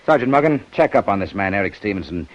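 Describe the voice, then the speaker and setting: Muffled old radio sound carries a low-voiced male police inspector from a 1950s detective serial. He is shrewd and sardonic, probing drily with a very mobile intonation. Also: very animated, quick pace. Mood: sardonic, accusing. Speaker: radio actor. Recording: muffled old-time radio broadcast recording.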